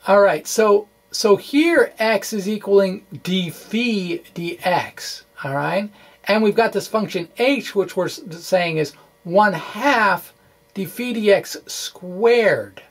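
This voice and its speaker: A man giving an informal science lecture in a conversational, somewhat halting way with chatty asides. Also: high voice. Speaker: physics explainer.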